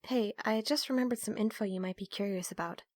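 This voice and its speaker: A woman's voice: mid-range voice, fairly quick, darkish tone, no room sound, close to an everyday voice. A woman playing a guarded, studious young roommate in an audio roleplay, with a dry, blunt, teasing way of talking. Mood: serious, guarded. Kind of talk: audio roleplay acting, casual dialogue.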